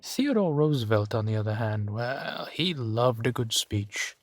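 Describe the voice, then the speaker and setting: Man playing a slow-talking, genteel old vampire duke. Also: medium pitch, expressive, dry recording. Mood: nostalgic, amused. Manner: unhurried, theatrical, savoring pauses with soft chuckles. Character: centuries-old male vampire duke, genteel, amused, doting host. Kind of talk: intimate roleplay audio drama.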